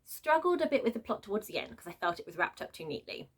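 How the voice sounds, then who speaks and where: A woman vlogger talking off the cuff in an emphatic, expressive, informal way, like a book reviewer ranting to viewers. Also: medium pitch, quick pace, breathy edge. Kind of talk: unscripted opinionated rant to viewers.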